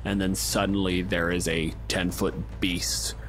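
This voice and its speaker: A man with a fairly low voice acts as game master of a tabletop role-playing game. He narrates and gives rulings in a conversational way. Music plays underneath. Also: flat delivery, a little slow, a bit bright.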